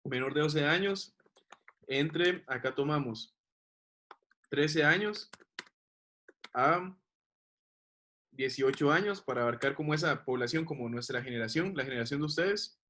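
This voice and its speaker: Man, teacher, patient explaining, fairly high voice. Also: measured pace, long pauses, bright, forceful tone. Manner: patient, checks understanding with questions. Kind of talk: explaining to a class.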